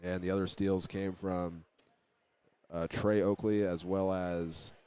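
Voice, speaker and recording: A man's voice: deep voice, little pitch movement, slow pace, dark tone, clear, resonant tone. A man giving postgame basketball radio commentary, reading out statistics with some hesitation. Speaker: sports play-by-play announcer.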